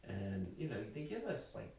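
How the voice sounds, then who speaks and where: A man's voice: fairly low pitch, big pitch swings, moderate pace, creaky, warm tone. A man chatting casually as a podcast guest, telling stories about himself. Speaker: podcast guest, professor and military psychologist. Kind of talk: casual chat, storytelling. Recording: very echoey room, distant mic, faint noise.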